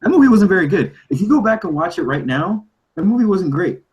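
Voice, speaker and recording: A man's voice: sits high, very animated, fast, darkish tone, lo-fi sound. A man on a casual podcast panel, arguing his point emphatically and repeating himself to press it. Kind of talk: casual debate.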